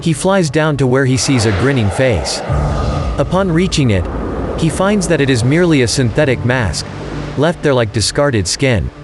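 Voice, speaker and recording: A man's voice: medium pitch, animated, normal speed, music in the background. A man giving dramatic scripted narration for an audio drama.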